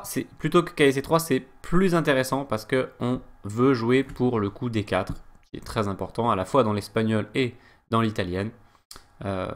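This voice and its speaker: Hesitant French man analysing chess. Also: on the low side. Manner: hesitant, many "euh" fillers; chatty digressions. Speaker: chess coach. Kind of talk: chess game analysis lesson.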